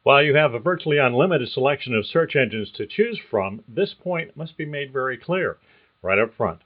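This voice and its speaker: A man's voice: medium pitch, lively intonation, a little fast, dull audio. Reassuring older male career coach. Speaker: career coach and podcast host. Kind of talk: scripted podcast monologue.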